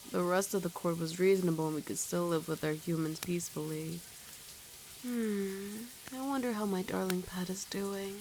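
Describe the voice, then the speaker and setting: Casting: female actor for regal vampire queen in ASMR roleplay, indulgent yet commanding mistress, low-pitched fry at the edges, breathy and whispery, formally coaxing. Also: a little mellow, a little hiss or hum, close to an everyday voice, poor audio. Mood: weary, haughty. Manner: slow, formal, coaxing pet names. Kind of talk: ASMR roleplay, intimate scripted acting.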